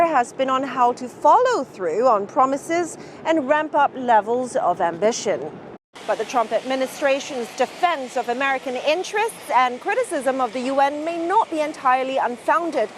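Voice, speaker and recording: A woman news correspondent delivering formal, measured broadcast reports. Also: sits high, a little hiss or hum.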